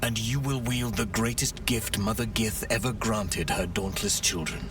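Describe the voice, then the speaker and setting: An actor voicing a stern, zealous githyanki rebel knight in a video game, speaking in a grand, fervent, oratorical manner. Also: male voice, fairly low pitch, moderate pace, lightly acted. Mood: fervent, ceremonial. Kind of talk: dramatic video game dialogue. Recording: distant, with music underneath.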